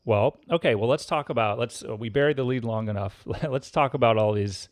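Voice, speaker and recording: A man hosting a podcast interview, asking questions in an easygoing, somewhat halting way. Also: medium pitch, no echo, studio quality. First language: not French. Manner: easygoing, halting, friendly prompts. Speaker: podcast host. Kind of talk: interviewing a guest.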